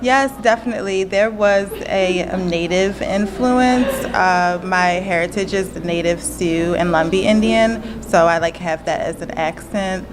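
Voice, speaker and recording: A woman fashion designer answering interview questions in a relaxed, thoughtful way, with many fillers. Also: on the low side, unhurried, hardly any pauses, noisy background. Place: fashion presentation event. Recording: music playing behind. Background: music.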